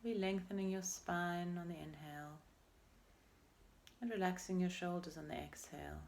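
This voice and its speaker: A woman leading a guided meditation as a teacher, speaking in a gentle, unhurried and soothing way with pauses between phrases. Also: fairly low pitch, animated, slight background noise. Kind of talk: guided meditation, body scan.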